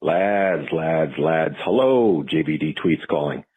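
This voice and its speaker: Super deep raspy guy leaving a casual voicemail, tinny phone sound. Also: animated, takes it slowly. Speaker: voicemail caller.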